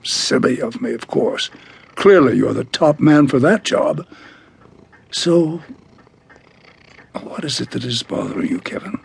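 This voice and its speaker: A man playing a gentle, doting elderly grandfather in an audio drama, folksy, with halting exclamations and sighs. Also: medium pitch, lively intonation, creaky, breathy edge, exaggerated voice. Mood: gently concerned. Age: older.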